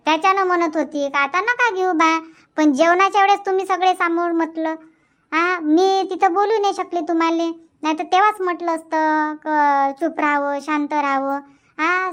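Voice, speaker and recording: A woman's voice: very high voice, fairly bright tone. A woman voicing a nagging, thrifty cartoon village wife with a Varhadi Marathi accent, complaining and sarcastic. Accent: Varhadi Marathi from Vidarbha. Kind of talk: dubbed cartoon comedy dialogue.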